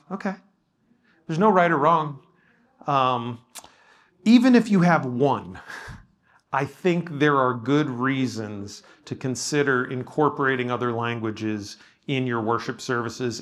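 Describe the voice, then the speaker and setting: A man of ordinary pitch speaks as a pastor guiding a workshop discussion. He talks loosely and humbly, stumbling through restarts and filler sounds. His tone stays conversational as he asks the room questions. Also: unhurried, a bit bright. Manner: casual, self-effacing, with frequent ums and restarts. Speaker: pastor leading a workshop session. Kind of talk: leading a group discussion. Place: room with a live audience.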